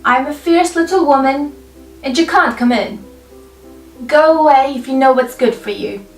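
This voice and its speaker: A woman's voice: high-pitched, moderate pace, pitched above the performer's own voice, lightly acted. A woman voicing a fierce, feisty little woman in a storybook, defiant, scolding and emphatic. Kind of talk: storybook character voice. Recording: roomy, distant mic, faint music behind.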